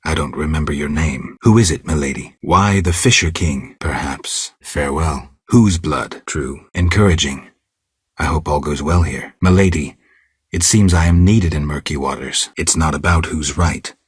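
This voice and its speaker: An actor playing a stoic, world-weary male monster hunter in a video game, with a gravelly voice and terse, deadpan delivery. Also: extremely low pitch, normal speed, dry recording, studio quality, heavily acted. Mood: stoic, reserved. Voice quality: gravelly, husky. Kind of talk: video game character dialogue.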